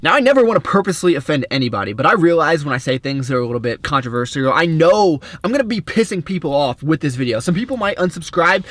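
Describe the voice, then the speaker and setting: Smiley high-voiced young man vlogging. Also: fairly bright tone. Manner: upbeat, smiley, rambling with restarts. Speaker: fitness and cooking vlogger. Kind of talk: casual opinionated vlog talk.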